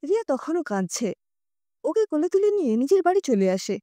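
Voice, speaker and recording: High, expressive woman storyteller. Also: brisk, no echo. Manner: expressive, emotional. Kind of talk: scripted dramatic audio story narration.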